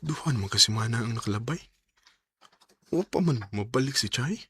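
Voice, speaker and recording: An actor playing a remorseful, unfaithful young boyfriend in a Bisaya radio drama, with a Cebuano Filipino accent and a stammering, dramatic delivery. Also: male voice, mid-range voice, animated, moderate pace, a bit bright, slight background noise, lightly acted. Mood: regretful.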